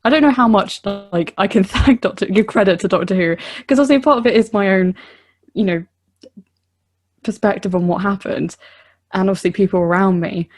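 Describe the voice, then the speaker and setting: A woman's voice: medium pitch, a little fast. Earnest woman from southern England. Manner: earnest, reflective, many "you know" fillers. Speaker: podcast guest. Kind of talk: casual podcast chat.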